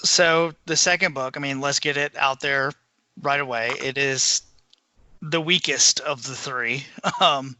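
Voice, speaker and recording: A man's voice: mid-range voice, unhurried, sharp tone, no echo. A man co-hosting a podcast, giving a casual, conversational recap of books. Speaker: podcast co-host.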